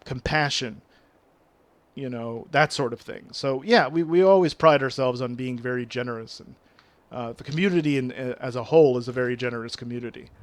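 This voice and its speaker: A man's voice: mid-range voice, no room sound. A man with a regional French accent, a native French speaker, chatting casually with viewers on a game stream and reading their messages aloud. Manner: chatty, reads chat aloud, uses big words.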